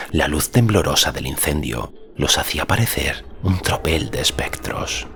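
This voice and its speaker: A man's voice: rumbling low, a bit bright. A man reading as an audiobook narrator, giving dramatic scripted narration of a fantasy story. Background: music.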